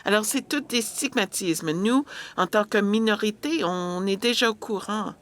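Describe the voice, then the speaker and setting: A woman's voice: mid-range voice, measured pace, fairly bright tone. A woman interpreting a panel discussion live, hesitant, with frequent filler sounds and restarts.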